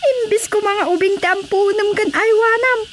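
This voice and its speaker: A woman's voice: extremely high pitch, moderate pace. A woman playing a frank friend who chides and advises, in an Ilocano-accented radio drama comedy. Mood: chiding. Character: frank, advice-giving woman friend of a childless wife. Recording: clearly noisy radio drama recording.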